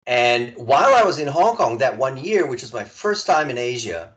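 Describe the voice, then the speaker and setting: A man in his forties from Serbia, a podcast guest telling his story in a relaxed conversational way, stumbling and restarting often. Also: medium pitch, dull audio. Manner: halting, many restarts and repeated words.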